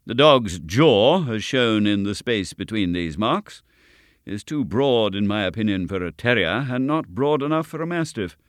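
A man voicing a brisk, confident male detective who speaks in a precise, declamatory way in an audiobook reading. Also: fairly low pitch, animated, no echo, studio quality.

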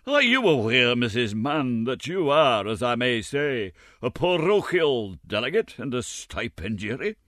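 A man's voice: medium pitch, very animated, a little slow, hardly any pauses, fairly bright tone, no echo, studio quality, pitched above the performer's own voice. A man voicing a pompous, choleric, fat parish beadle with a self-important, lecturing manner.

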